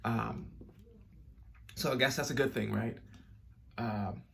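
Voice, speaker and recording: A man speaks to his viewers in an earnest, rambling way, restarting sentences and leaning on fillers. His voice is gravelly with heavy fry and sounds a little nasal and stuffed up. His pitch sits in an ordinary middle range, with a faint hiss behind him. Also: steady tone, takes it slowly, a bit bright. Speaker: vlogger. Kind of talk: casual personal talk to camera.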